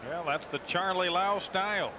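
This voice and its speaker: A man giving relaxed baseball color commentary, adding short pieces of analysis to the play-by-play. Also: high-pitched, normal speed. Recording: broadcast booth over loud crowd noise. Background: stadium crowd ambience.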